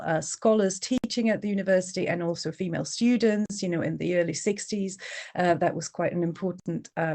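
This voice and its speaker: A woman's voice: on the low side, dark tone. A woman, a university art curator giving an earnest talk with many fillers and restarts. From Czech Republic. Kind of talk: online talk, self-introduction.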